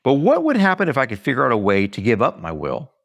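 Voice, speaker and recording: A man reading his own book aloud in an audiobook style, earnest and deliberate, with dramatic emphasis and pauses. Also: mid-range voice, wildly swooping pitch, a bit bright. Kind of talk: audiobook-style scripted narration.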